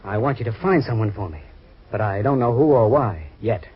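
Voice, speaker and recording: An actor playing an anxious retired ex-fighter who stammers and pleads, in 1940s radio acting. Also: male voice, lowish voice, lively intonation, brisk, breathy edge. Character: anxious retired ex-fighter, plump, haunted by a name. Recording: dull old radio recording.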